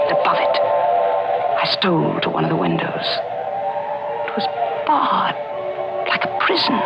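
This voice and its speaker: Obsessive woman in a muffled radio thriller. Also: lowish voice. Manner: melodramatic, intense, dramatic pauses. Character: obsessive, jealous woman mystery novelist, scheming narrator. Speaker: narrator and lead actress. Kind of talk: old-time radio thriller acting. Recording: muffled old radio recording, loud music.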